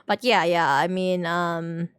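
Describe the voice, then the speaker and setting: A woman's voice: on the low side, fairly even intonation, takes it slowly, a bit bright, smooth, no room sound. A woman live streamer chatting casually and informally with her viewers while playing a game, in a giggly way. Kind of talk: casual chat with stream viewers during gameplay.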